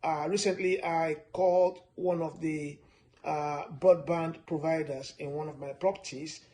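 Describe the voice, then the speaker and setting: A man from Nigeria giving a steady, repetitive finance lesson, stressing his points with frequent "uh" fillers. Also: a little high, measured pace. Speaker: personal finance presenter. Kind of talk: instructional talk to camera.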